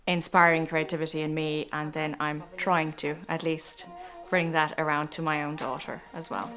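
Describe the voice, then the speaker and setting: Woman, low calm voice. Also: smooth. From South Africa. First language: Afrikaans. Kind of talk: documentary interview.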